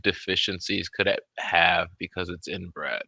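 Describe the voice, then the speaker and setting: A man's voice: sits low, fairly even intonation, a little slow, vocal fry, bright, forceful tone, no echo. An American man co-hosting a show, chatting casually in a blunt, profane way. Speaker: co-host. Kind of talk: casual chat.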